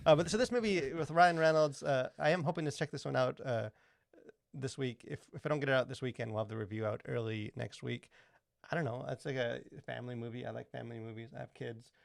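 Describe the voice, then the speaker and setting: A man, probably in his thirties, co-hosting a podcast with casual, rambling, halting talk full of filler words. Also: mid-range voice, creaky, dry recording, studio quality. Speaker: podcast co-host.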